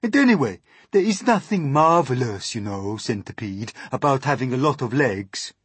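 A man's voice: mid-range voice, very animated, normal speed, slightly rough, no echo, pitched above the performer's own voice. A man voicing a prim, self-important male earthworm in a storybook, complaining with emphatic indignation. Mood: exasperated.

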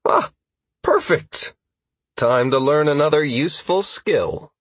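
A man's voice: a little high, expressive, takes it slowly, slightly rough, dry recording, pitched above the performer's own voice, heavily acted. A man playing a wry, cocky male party leader in a fantasy audiobook, with a dry, teasing manner. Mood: casual.

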